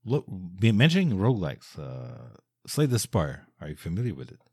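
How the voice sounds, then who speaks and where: A non-native English-speaking man with a very low, husky voice, recorded dry and close. He interviews a guest with long, rambling, enthusiastic questions. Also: huge pitch swings, normal speed, darkish tone, no room sound. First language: not English. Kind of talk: interview conversation.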